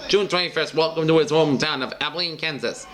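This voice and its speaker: A man with a slightly high voice narrates a newsreel. He reads scripted, dated headlines like an announcer, in short clipped phrases, moving from one item to the next. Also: quick pace, a bit bright.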